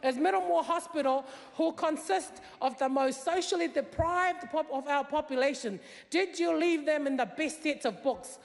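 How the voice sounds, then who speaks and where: A woman's voice: high voice, moderate pace, gravelly, few pauses. A middle-aged woman whose first language is Tongan, giving an earnest parliamentary speech at a desk mic, with many fillers and rising emphasis. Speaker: member of parliament. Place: large wood-panelled debating chamber. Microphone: desk microphone. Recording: long chamber reverb.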